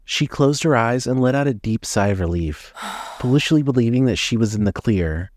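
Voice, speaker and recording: A man's voice: mid-range voice, animated, moderate pace, a little mellow, no room sound. A man narrating a scripted horror story with dramatic storytelling delivery.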